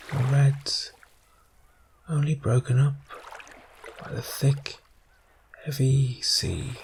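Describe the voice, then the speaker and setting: Slow, creaky-voiced man narrating soft ASMR. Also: mid-range voice, slight background noise. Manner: soft-spoken, soothing, pausing between short phrases. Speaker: guided meditation narrator. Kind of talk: ASMR guided imagery narration.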